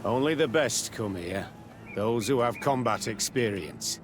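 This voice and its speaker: An actor playing a guarded, commanding military captain in a video game, speaking in a measured, curt and authoritative way. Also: male voice, medium pitch, lively intonation, a little hiss or hum, natural voice. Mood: guarded, official. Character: guarded, commanding male captain running a secret military camp. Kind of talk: video game dialogue acting.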